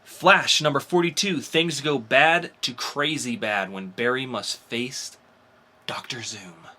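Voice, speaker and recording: A man's voice: mid-range voice, slow pace, bright, forceful tone. A man co-hosting a podcast, chatting casually and enthusiastically about comics in an informal way. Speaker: podcast co-host. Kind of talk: casual comic book review chat. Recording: faint background noise.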